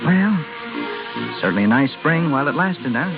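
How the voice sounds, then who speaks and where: An actor playing an earnest, bashful young American suitor in old radio drama style, talking colloquially with stammers and halts. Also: male voice, fairly high pitch, sing-song, measured pace, over music. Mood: bashful, fond. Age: young adult. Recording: dull old radio recording.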